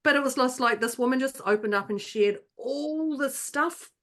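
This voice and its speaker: A woman co-hosting a podcast who tells stories in an animated way, with frequent restarts and repeated words. She slips into other people's voices when quoting them, in plain casual chat. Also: sits high, moderate pace. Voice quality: not calming, by her own account. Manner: animated anecdotes, many restarts, acts out others' lines. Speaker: podcast co-host.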